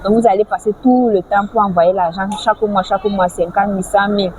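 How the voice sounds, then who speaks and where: A woman's voice: medium pitch, fairly even intonation, a little fast, a little mellow, rough recording. A woman from French-speaking Africa giving a casual advice vlog, lecturing with rhetorical questions and frequent restarts. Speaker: vlogger. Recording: clear background noise, vehicles.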